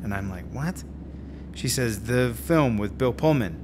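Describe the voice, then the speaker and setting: An actor playing a laid-back slacker screenwriter in telesales, rambling and slangy in a radio drama. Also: male voice, medium pitch, animated, a little slow, noisy background, lightly acted. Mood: animated storytelling. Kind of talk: naturalistic radio drama acting. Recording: music under the dialogue.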